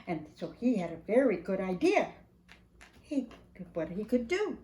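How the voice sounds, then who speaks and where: An older woman reading a picture book aloud to young children in an animated, sing-song way, speaking right to them. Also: mid-range voice, measured pace, echoey room. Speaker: preschool staff storyteller reading to children.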